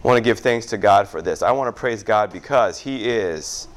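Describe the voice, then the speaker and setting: A man with a fairly low voice leads church prayer requests in an easy, conversational way. He fills pauses with "good, good" and "okay." His talk is loose and friendly, not preached. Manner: chatty, warm asides with repeated "good, good". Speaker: pastor. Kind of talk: casual church prayer time.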